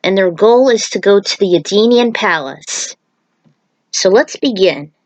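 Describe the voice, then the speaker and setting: A woman's voice: medium pitch, lively intonation, measured pace, darkish tone, no echo, highs cut off. A woman narrating her own fan fiction, moving between casual recap and reading aloud.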